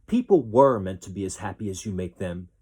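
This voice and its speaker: A gentle, wise storybook dolphin voiced by a man, mellow and slightly husky. He speaks with solemn, encouraging reassurance, like a magical rescuer. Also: low voice, lively intonation, a little fast. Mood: kindly, reassuring. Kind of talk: storybook character reading.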